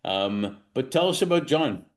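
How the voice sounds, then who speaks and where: A man's voice: medium pitch, lively intonation, unhurried. A man hosting a casual interview series, chatty and jokey, laughing often between questions. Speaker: interview host.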